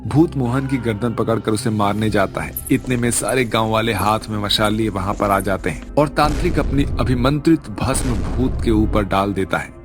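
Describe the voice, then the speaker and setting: A man's voice: on the low side, moderate pace, hardly any pauses. A man narrating a scripted cartoon story as the storyteller. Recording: music under the voice.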